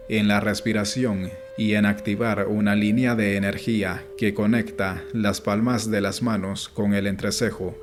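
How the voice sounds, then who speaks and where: Low-pitched man narrating an audiobook over music. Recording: music playing behind the voice. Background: background music.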